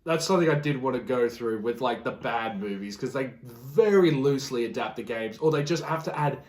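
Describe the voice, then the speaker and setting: A man's voice: medium pitch, expressive, a little fast. A man co-hosting a podcast, talking in a casual, conversational way. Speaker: podcast co-host. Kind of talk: casual chat.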